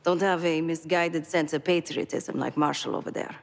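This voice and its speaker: A self-possessed female mercenary in a game cutscene. Her voice is on the low side with a fry in it. She is dry and wry, heard in a long reverb over faint music. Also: steady tone, normal speed. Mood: dismissive, guarded. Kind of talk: video game cutscene acting.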